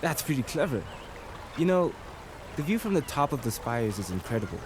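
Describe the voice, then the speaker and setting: A man's voice: medium pitch, big pitch swings, fairly quick, lightly acted. A man playing a friendly, curious local from a river city in a radio drama. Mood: friendly curiosity. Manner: friendly, inquisitive. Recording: noisy watery ambience behind the voice.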